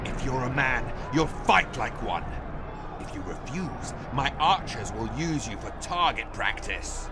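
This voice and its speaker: An actor playing a cruel, mocking warlord who rules a fighting pit in a video game, commanding and taunting. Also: male voice, mid-range voice, big pitch swings, measured pace, loud music behind the voice. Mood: scornful. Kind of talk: dramatic video game acting.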